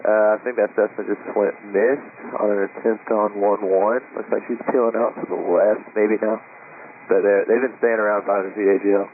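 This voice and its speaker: A man giving unscripted, halting traffic reports over an aviation radio. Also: fairly low pitch, fairly even intonation. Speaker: pilot reporting on local radio frequency. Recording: tinny, noisy air traffic radio.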